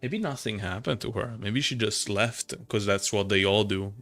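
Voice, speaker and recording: A young man giving casual, slangy live commentary as he reacts to a game, musing in a halting way. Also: on the low side, a bit bright. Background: game music.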